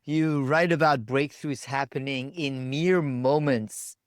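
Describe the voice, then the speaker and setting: Deliberate male podcast host. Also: mid-range voice, steady tone, smooth, dry recording, studio quality. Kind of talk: interview hosting.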